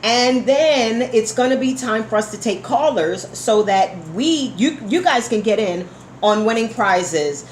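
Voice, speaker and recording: Effusive woman radio host, very animated pitch. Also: a little slow. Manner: effusive, familiar, repeats phrases for emphasis, addresses listeners as honey. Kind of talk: casual live interview chat. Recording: echoey room with background noise.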